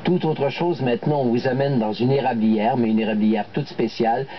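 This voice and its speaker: A man's voice: medium pitch, moderate pace, slight background noise. A man presenting and narrating a television report in a scripted style. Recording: dull lo-fi broadcast, guitar music behind.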